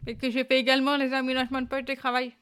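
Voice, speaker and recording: Young woman guest, smooth clear voice, slightly high, hesitant but earnest. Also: monotone, very fast, fairly bright tone. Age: young adult. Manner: plain, earnest, with frequent hesitations. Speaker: guest, deaf employment adviser. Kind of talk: interview answers. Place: quiet corner at a busy job fair.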